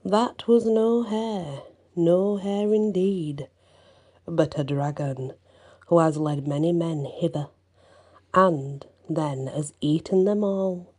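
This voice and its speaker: A kindly old woman speaks gently and slowly, as read by a woman storyteller. Her voice is soft, breathy and mellow. It sounds like a character from a fairy tale. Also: on the low side. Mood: gentle.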